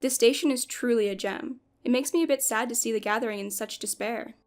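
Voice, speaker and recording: A woman's voice: on the high side, fairly quick, close to an everyday voice. A woman playing a curious, candid, teasing detective in an amateur sci-fi audio play. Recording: music under the voice.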